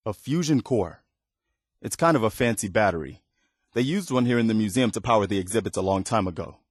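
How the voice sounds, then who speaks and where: Casting: weary, earnest male militia leader for a video game; lowish voice, close dry sound, sincere and plain-spoken. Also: lively intonation, a little fast, breathy edge, no echo. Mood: matter-of-fact, friendly. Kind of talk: video game dialogue acting.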